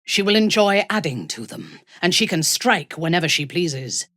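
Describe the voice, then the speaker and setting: A woman voicing a ruthless, haughty exiled dark elf warrior in a video game, commanding and menacing, with formal diction. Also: on the low side, animated, moderate pace, dry recording, clean studio sound. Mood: grim, menacing. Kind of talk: video game character voice acting.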